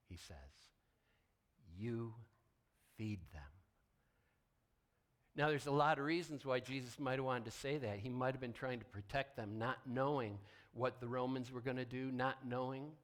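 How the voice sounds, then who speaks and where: A male pastor delivers a sermon in a deliberate, emphatic way. He uses dramatic pauses and drops to a hushed tone for weight, with his voice at a medium pitch.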